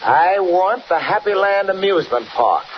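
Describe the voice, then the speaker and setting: An American actor playing a scheming, blackmailing park owner in 1940s radio style, mock-polite and menacing. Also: male voice, sits high, lively intonation, moderate pace. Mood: coolly menacing. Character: scheming male amusement park owner, smug blackmailing villain. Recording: noisy, muffled old 1940s radio recording.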